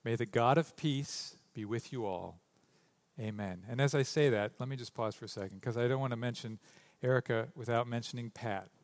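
A man's voice: mid-range voice, moderate pace. A man preaching a sermon, reading scripture and then talking loosely, with joking asides and stumbles, into a stage microphone in a church. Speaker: pastor. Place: church sanctuary.

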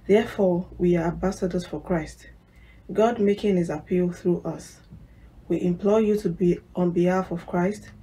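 Female scripture reader, measured slow delivery, low warm voice. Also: rough recording. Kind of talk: scripture reading.

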